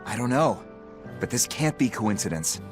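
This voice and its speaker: A man's voice: lowish voice, very animated, moderate pace. Earnest pirate crewman, music behind. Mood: earnest report. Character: dutiful, earnest pirate crewman reporting to his superior. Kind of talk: English video game voice acting.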